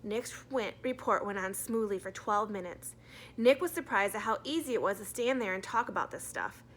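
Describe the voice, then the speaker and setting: A woman's voice: mid-range voice, animated, moderate pace, a bit bright, slight background noise. A woman narrating a children's storybook as a teacher reading aloud to a class.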